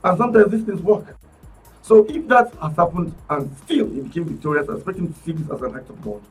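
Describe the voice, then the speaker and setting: A man with a Nigerian accent, arguing politics on a radio talk panel in an animated, emphatic way, often restarting his words. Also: on the high side, a little fast, distant mic, faint music behind, low-quality recording. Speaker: political commentator guest. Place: radio studio.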